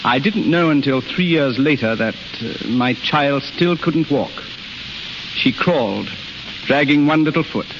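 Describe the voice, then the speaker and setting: An actor playing a proud, grieving surgeon and father in a 1930s radio drama, speaking gravely and deliberately. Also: mid-range voice, animated. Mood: remorseful. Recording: hissy, muffled 1930s radio recording.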